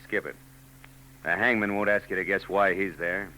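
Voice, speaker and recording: An actor playing a hardboiled private eye in a 1940s radio drama, dry and sardonic with quick wisecracks. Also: male voice, low-pitched, steady tone, bright, forceful tone, slight background noise, poor audio. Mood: tense. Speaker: narrator and lead.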